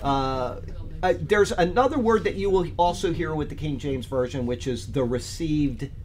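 A man's voice: fairly high pitch, moderate pace, slight background noise. A man teaching a Bible study in a loose, conversational way, rambling with frequent fillers and restarts. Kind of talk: casual Bible study talk.

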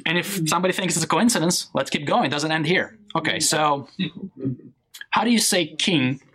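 A man's voice: fairly high pitch, normal speed, crowd noise, poor audio. A man from Israel, a Hebrew speaker, teaching a Bible lesson and quizzing his audience. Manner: animated, asks the audience questions. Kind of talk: classroom lesson with a live audience.

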